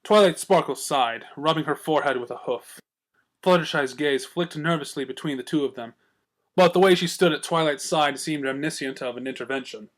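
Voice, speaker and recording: A man reading fiction aloud as a storyteller, with expressive scripted narration. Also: on the high side, brisk. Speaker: story reader.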